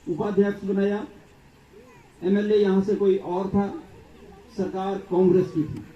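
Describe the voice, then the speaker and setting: A man with a high voice gives a political speech to a public gathering. He builds his case through long, repeated lists. The sound is distant, echoey and dull. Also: a little hiss or hum. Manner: rhetorical, repetitive lists. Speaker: political speaker.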